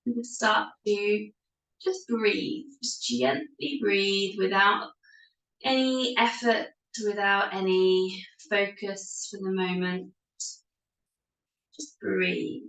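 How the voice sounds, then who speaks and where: A woman leads a yoga class from some distance to the microphone, her voice echoing in the room. She speaks very slowly and gently, leaving pauses as she guides the meditation. Her delivery is soothing and unhurried. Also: medium pitch, steady tone, vocal fry. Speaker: yoga teacher.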